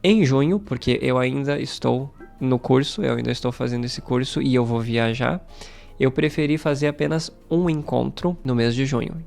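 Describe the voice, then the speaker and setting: A man's voice: mid-range voice, moderate pace, gravelly, darkish tone, soft background music. A man with a Brazilian Portuguese accent who hosts as a language teacher, talking casually and emphatically to his viewers in a conversational way. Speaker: Portuguese teacher and YouTube channel host. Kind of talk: casual talk to camera, channel update.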